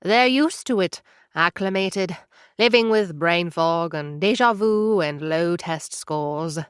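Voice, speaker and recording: A woman's voice: fairly low pitch, slow pace, clear, resonant tone, no room sound, clean studio sound. A woman playing a curt tiny ship captain. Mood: brusque. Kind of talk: audio drama acting.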